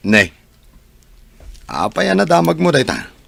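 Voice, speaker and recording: A man's voice: fairly high pitch, sing-song, a little slow, creaky, a bit bright, no echo, exaggerated voice. A man playing a shrewd boss in an Ilocano comedy radio drama, probing with questions.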